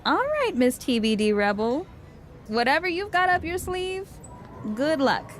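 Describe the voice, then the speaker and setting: A woman's voice: high-pitched, very animated, unhurried, faint music behind. A woman playing a wry, sceptical confidante in a video game, conversational and sardonic. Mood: resigned. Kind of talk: video game cutscene dialogue.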